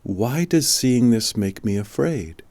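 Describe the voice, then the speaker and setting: Young boy pupil played by a male narrator, pitched up from a deep voice, uneasy, questioning, storybook style. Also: lively intonation, slow pace, a little mellow, no echo. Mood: puzzled, uneasy. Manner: hesitant, questioning. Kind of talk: audiobook storytelling.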